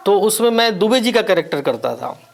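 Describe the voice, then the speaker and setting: A man from Bihar whose first language is Maithili, answering interview questions as an actor in a measured, reflective way with frequent pauses. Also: sits high, big pitch swings, vocal fry. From Bihar, India.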